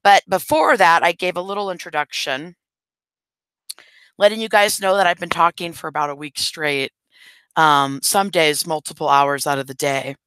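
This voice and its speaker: A woman's voice: low voice, moderate pace, fairly bright tone, clear, resonant tone, no room sound. A woman hosting a live stream in casual chat, talking straight to her viewers while losing her voice. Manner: chatty, addresses viewers directly.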